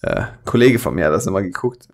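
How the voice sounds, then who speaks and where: Man, light Swabian accent, lively intonation, creaky low voice, chatty tutorial commentary. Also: quick pace. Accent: southern German, light Swabian. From Swabia, southern Germany. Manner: colloquial, chatty, chuckles often. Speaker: tutorial host, airbrush artist. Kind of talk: casual hands-on tutorial commentary.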